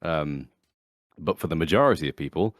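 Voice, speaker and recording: Deep-voiced young man with lively, swinging intonation. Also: moderate pace, no echo, clean studio sound. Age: 20s. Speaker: podcast guest. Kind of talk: casual podcast chat.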